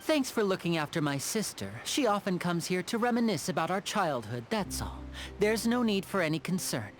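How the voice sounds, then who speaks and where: A courteous young man from a video game, with a playful, theatrical polish. The smooth-talking delivery moves in a lively, expressive range, over faint music. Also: fairly low pitch, moderate pace. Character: suave young male magician, courteous, playful older brother. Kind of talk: English video game voice acting.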